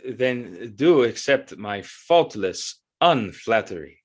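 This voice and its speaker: Guy doing a flattering court musician, formal, halting, deferential, theatrical radio play style. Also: fairly low pitch, exaggerated voice. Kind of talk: theatrical audio drama acting.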